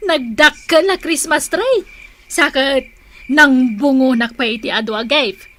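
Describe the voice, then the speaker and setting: An actress playing a cheerful, boastful housewife in a radio comedy drama, gleefully showing off. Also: female voice, high voice, bright, forceful tone.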